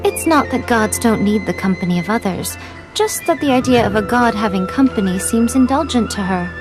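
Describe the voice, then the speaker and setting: A woman voicing a sly, elegant shrine priestess in a video game, playful, condescending and unhurried, with music behind her. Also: on the high side, lively intonation, lightly acted. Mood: knowing, teasing.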